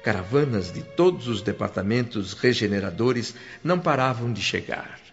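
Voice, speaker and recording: A man narrating a radio play in the first person, with calm, descriptive storytelling. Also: mid-range voice, moderate pace, faint music behind, natural voice. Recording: dull lo-fi radio play audio.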